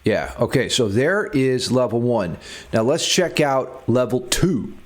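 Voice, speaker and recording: A man's voice: medium pitch, animated, a little slow. A man giving a casual guitar theory tutorial, thinking aloud and enthusiastic about the material as he explains it. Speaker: guitar music theory teacher.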